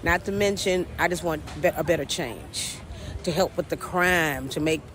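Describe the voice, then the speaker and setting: A man giving a rushed, fragmented street interview answer. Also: a little high, fairly bright tone. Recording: outdoor street noise from a distance.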